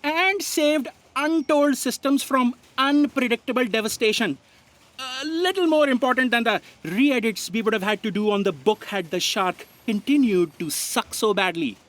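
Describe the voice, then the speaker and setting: A woman's voice: on the high side, normal speed, close to an everyday voice. A woman playing a sardonic, put-upon office aide in a comic sci-fi audio drama, sarcastic and exasperated. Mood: deadpan sarcasm.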